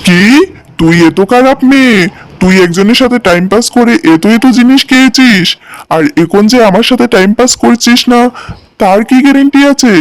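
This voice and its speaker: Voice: mid-range voice, normal speed, no echo, close to an everyday voice. A performer voicing an indignant young man in a cartoon, a boyfriend with a questioning, accusing manner. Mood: indignant, scornful.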